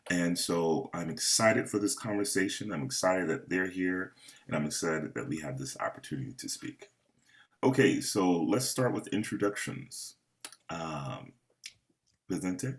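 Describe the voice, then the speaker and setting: A man hosting and moderating a panel of composers over a video call, speaking in an unhurried, earnest way. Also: deep voice, animated, vocal fry. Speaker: host and festival curator.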